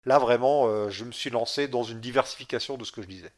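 A man's voice: mid-range voice, fast. A man from France chatting on a comics podcast, talkative and wry, with frequent fillers. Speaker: podcast co-host. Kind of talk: casual comics podcast chat.

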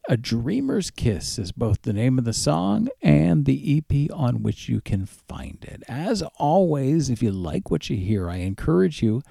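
A man with a creaky, gravelly voice and a dark, relaxed tone presents a music radio show. He talks in an easygoing, conversational way, close on the microphone with a very dry sound. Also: mid-range voice, a little slow, few pauses, dry recording. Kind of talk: casual radio music show presenting, back-announcing tracks. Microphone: close studio microphone with headphones.

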